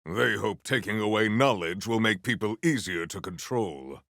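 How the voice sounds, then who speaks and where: An actor playing a solemn, valiant warrior hero in a video game, speaking in formal, archaic, grandiose phrases. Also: male voice, lowish voice, lively intonation, moderate pace, gravelly, fairly bright tone, dry recording, clean studio sound. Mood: grave, triumphant. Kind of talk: theatrical video game character lines.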